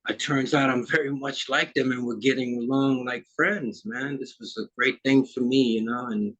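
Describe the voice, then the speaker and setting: A man's voice: medium pitch, steady tone, moderate pace, fairly bright tone. Easygoing man from Trinidad, muffled sound. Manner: easygoing, rambling with many fillers. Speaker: musician guest. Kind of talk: casual interview chat.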